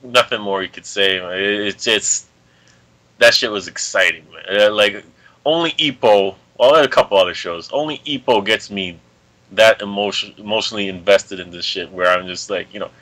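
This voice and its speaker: A man on a casual fan podcast, telling things in an excitable, slangy way with some swearing. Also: lowish voice, moderate pace, rough recording. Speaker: podcast panelist. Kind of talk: casual, animated storytelling among anime fans.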